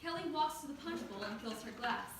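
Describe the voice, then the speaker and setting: A woman's voice: high voice, lively intonation, brisk. A woman narrating scripted stage directions at a play reading. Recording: very echoey room, distant mic, noisy.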